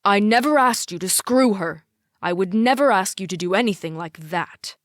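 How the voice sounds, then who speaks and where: A woman's voice: lowish voice, fairly even intonation, a little slow, no echo, clean studio sound, natural voice. A woman voicing a stern, controlling father in an audiobook, speaking in a commanding, clipped way. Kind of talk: audiobook character dialogue.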